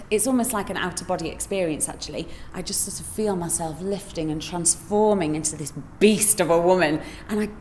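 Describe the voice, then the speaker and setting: A woman's voice: on the low side, darkish tone, a little hiss or hum. A woman who acts in pantomime, speaking expressively and reflectively in an interview. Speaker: pantomime actor playing villains.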